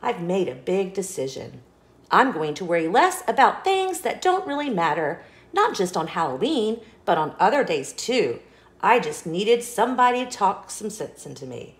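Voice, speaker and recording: A woman reading a children's storybook aloud with expressive narration, recorded with roomy echo. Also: mid-range voice, normal speed.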